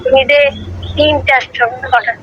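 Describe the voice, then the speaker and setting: A woman's voice: high voice, steady tone, measured pace, highs cut off, slight background noise. A woman with an eastern Bengali dialect in casual domestic talk on a recorded call. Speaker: wife on a call with her husband. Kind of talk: casual domestic phone chat, arguing.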